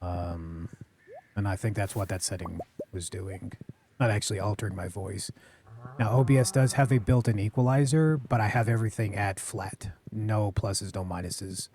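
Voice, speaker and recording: A man streaming a farming game, chatting casually into a close boom-arm mic, rambling and apologetic, with a deep voice he keeps low. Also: fairly even intonation, normal speed, a little mellow, slight background noise. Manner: rambling, self-correcting, apologetic, talks low. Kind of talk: casual live-stream chat while gaming. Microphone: studio mic on a boom arm, close to the face.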